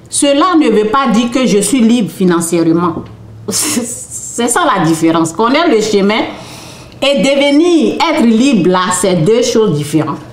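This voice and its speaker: Woman teaching in an echoey room. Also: mid-range voice. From Côte d'Ivoire. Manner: keeps checking in with listeners with a repeated "ok?"; restarts and repeats phrases, speaking off the cuff. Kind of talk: informal spiritual teaching to an audience. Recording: roomy echo.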